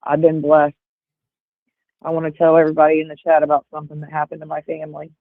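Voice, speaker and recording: A woman's voice: sits low, completely flat delivery, fast talker, no echo. A woman in her forties, a livestream guest chatting casually over earbuds, rambling with lots of fillers. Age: 40s. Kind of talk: casual chat. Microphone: earbuds over a call. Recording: tinny phone-like call line.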